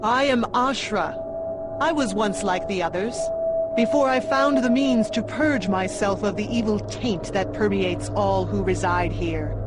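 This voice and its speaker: A woman voicing a determined female demon warrior in a video game cutscene, formal and measured. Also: fairly high pitch, close to an everyday voice. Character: solemn, determined female demon swordswoman seeking purity.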